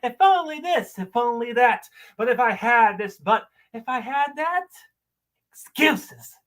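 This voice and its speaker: A man's voice: very high voice, animated, unhurried, a bit bright, voice pushed up, full character voice. A man performing a dramatic monologue as a bitter man berating someone for wasted dreams, accusing and emphatic. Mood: bitter, exasperated.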